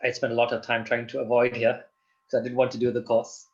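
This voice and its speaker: Man from Zimbabwe as interview guest, calm teacherly explaining, muffled lo-fi sound. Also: mid-range voice, fast talker. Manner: calm, explanatory, often checks in with "yeah?". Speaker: guest, doctor and yoga teacher. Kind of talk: interview answers.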